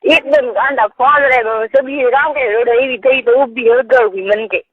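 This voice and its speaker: Husky Marwari woman on a tinny phone. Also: fairly high pitch, a little slow, no echo. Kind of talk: casual private phone conversation. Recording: tinny, narrow phone-call recording.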